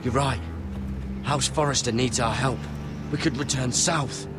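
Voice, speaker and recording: A man's voice: mid-range voice, measured pace, natural voice. An actor playing an earnest young man sent north as an envoy, asking questions eagerly. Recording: game audio with music score.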